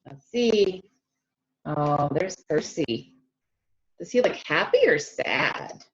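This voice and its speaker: A woman reading a picture book aloud to children, expressive and stretching key words, often stopping to ask them questions directly, on a slightly dull lo-fi recording. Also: sits low, slow. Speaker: speech-language pathologist reading to children. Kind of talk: animated children's read-aloud with questions to listeners.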